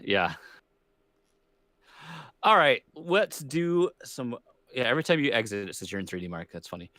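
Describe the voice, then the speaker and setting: A man's voice: mid-range voice, big pitch swings, moderate pace, bright, forceful tone, no room sound, studio quality. A man co-hosting a podcast, chatting in a laid-back way with short reactions while gaming. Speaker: podcast co-host. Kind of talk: casual chat while gaming.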